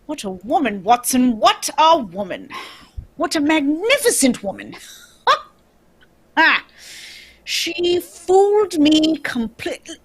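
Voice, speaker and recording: A woman playing a shrewd male detective with a husky, theatrical delivery in an amateur radio drama. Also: high-pitched, sing-song, slow, long pauses, full character voice. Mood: admiring, exhilarated. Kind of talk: amateur old-time radio drama reading.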